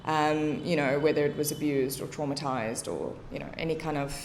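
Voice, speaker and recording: A young woman in her twenties with a South African accent, chatting as a podcast guest, laughing easily and filling pauses with "um". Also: deep voice, fairly even intonation, slight background noise, poor audio. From Cape Town, South Africa. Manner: chatty, laughs often, many fillers. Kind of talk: casual interview.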